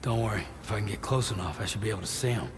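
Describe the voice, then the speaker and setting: A man playing a laconic, self-assured courier hero, speaking in a terse, casual, low-key way in a video game cutscene. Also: racing, natural voice. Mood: calm, confident. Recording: noisy, reverberant hall.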